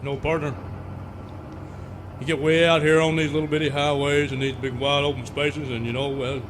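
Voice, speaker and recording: A man's voice: on the high side, flat delivery, moderate pace, lightly acted. Man playing a folksy rural fellow, noisy background. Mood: musing. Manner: folksy, rambling. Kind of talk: parody of old-time radio serial.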